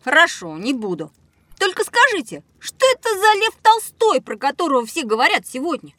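A woman's voice: sits high, big pitch swings, moderate pace, fairly bright tone, no echo. A woman playing a curious, naive young boy in a children's audio drama, asking questions eagerly. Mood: shy, curious.

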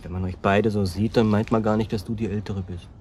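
An actor in a German radio drama playing a conciliatory, soft-hearted male friend with a hesitant way of talking. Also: fairly low pitch, little pitch movement, fairly quick, lightly acted. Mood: gentle, wistful. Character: conciliatory, gently wistful male friend of siblings. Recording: clearly noisy ambient background.

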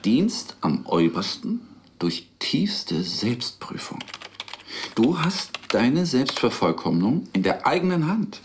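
A wry, earnest man, vividly animated. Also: mid-range voice, takes it slowly, slight background noise. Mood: wry, zealous. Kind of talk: radio play dialogue and recollection.

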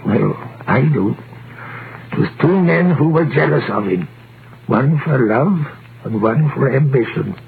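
A creaky, knowing old-radio gossip. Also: male voice, mid-range voice, lively intonation, unhurried. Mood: knowing, sly. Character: gossipy, hard-drinking male witness recounting a sad scandal. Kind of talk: 1930s radio acting. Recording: tinny, noisy vintage radio recording.

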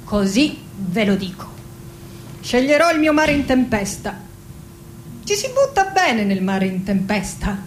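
A woman acting a melancholy middle-aged poet in a theatrical monologue, speaking in a hushed, dramatic way that often slips toward whispering. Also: a little high, sing-song, measured pace, slight background noise. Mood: grave, resolute. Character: melancholy 46-year-old woman poet bidding farewell to life. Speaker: actress and director. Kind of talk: theatrical poetic monologue with music. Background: music.

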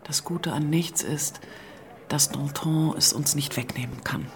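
An actress playing a composed, resolute and tender wife in a radio drama, calm and often hushed. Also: female voice, low-pitched, measured pace, noisy background.

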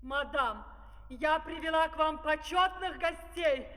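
A very high-pitched governess, prim and formal. She announces things theatrically in comic opera style, with long hall reverb on an old vinyl record. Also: a little slow, fairly bright tone. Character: formal, deferential governess of the dictator's children.

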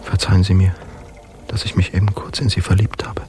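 Actor as a low, brooding seafarer over music. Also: male voice, flat delivery, a little fast, natural voice. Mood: apologetic. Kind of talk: radio drama acting.

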